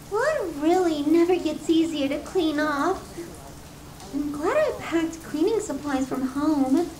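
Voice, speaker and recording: Very high-pitched, sweetly sadistic yandere girlfriend. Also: mellow, rough recording. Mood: casual, sweet. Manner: cutesy, affectionate, theatrical pet names. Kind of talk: ASMR roleplay, scripted monologue to the listener.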